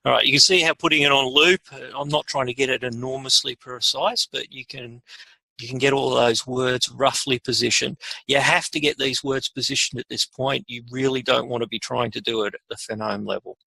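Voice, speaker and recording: A man presenting an informal, unscripted software tutorial, muttering asides to himself as he works. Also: mid-range voice, fairly even intonation, a little fast, gravelly, dry recording, poor audio. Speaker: webinar presenter.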